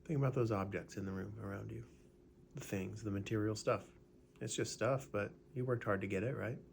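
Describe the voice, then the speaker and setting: Low-voiced man casually guiding meditation. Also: brisk, gravelly, a little hiss or hum. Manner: relaxed, conversational, with frequent "right?" and filler words. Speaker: meditation guide.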